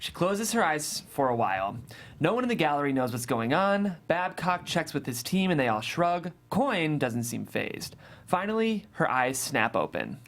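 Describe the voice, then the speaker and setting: Mid-pitched screenplay narrator. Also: sing-song, moderate pace, fairly bright tone. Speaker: stage-direction reader. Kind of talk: scripted narration at table read.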